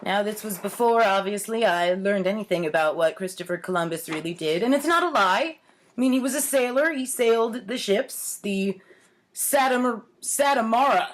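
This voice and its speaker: A woman's voice: mid-range voice, slight background noise. A woman in her forties talking casually and playfully in a storytime vlog, with a wry, mock-earnest manner. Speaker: host of a storytime series. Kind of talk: casual comic storytime vlog, commenting on own childhood writings. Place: home kitchen, indoors.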